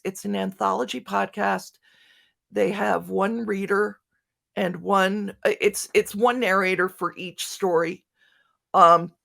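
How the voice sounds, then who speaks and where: A woman presents informally at an online conference in a medium-pitched voice with noticeable vocal fry. She is chatty and self-deprecating, slipping joking asides into her explanations. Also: fairly bright tone. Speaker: conference speaker, writer and podcaster. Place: online video conference talk.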